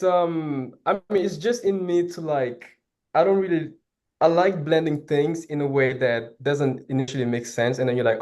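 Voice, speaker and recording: A young man from Senegal whose first language is Wolof, a filmmaker guest talking casually about his work, upbeat and quick to laugh, often trailing into fillers. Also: on the high side, darkish tone. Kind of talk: casual interview talk.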